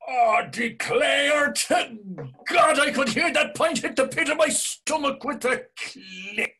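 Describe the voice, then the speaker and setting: An actor with an Irish accent playing a fiery nationalist Dublin drinker who rants in a husky voice, read over a video call. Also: male voice, extremely high pitch, slow pace, sharp tone, heavily acted. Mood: jovial. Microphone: video call webcam.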